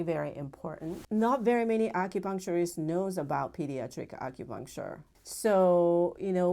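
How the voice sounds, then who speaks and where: Low, gravelly Japanese-accented woman. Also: darkish tone. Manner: measured and earnest, with frequent pauses and fillers. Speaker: pediatric acupuncturist. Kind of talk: personal story told to camera.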